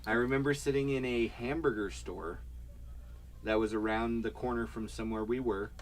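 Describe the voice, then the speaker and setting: A man's voice: mid-range voice, fairly even intonation, moderate pace. A man co-hosting a casual homestead livestream, rambling playfully and laughing as he talks. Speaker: livestream co-host.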